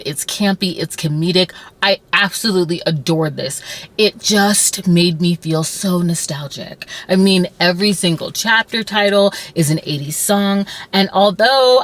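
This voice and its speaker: A woman in her thirties chatting casually and effusively about books as an enthusiastic vlogger. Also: low-pitched. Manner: gushing, effusive. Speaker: book reviewer vlogger. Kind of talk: casual, enthusiastic book review chat.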